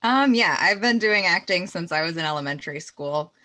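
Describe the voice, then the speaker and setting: A woman's voice: lowish voice, expressive, brisk, sharp tone. A woman actor answering casually and matter-of-factly as an interview guest.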